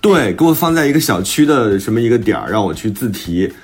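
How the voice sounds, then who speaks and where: 一位男性脱口秀主播，轻松随意地聊天，爱讲自己的小故事和吐槽。. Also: male voice, fairly low pitch, big pitch swings, fast talker, slight background noise. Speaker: podcast co-host and author. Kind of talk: casual banter on a talk show.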